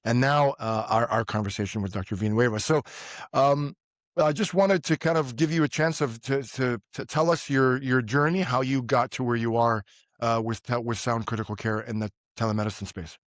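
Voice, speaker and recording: A middle-aged man hosting a medical podcast, speaking in a halting way with many fillers and restarts. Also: mid-range voice, no room sound, clean studio sound. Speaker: podcast host, physician. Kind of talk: interview conversation.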